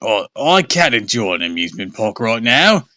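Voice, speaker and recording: Frantic stammering dad yelling about his car, way up high and loud, man doing it. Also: big pitch swings, moderate pace, dry recording, clean studio sound. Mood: upset. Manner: sputtering, shrieking, stammering. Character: panicked frantic middle-aged father, hot-tempered.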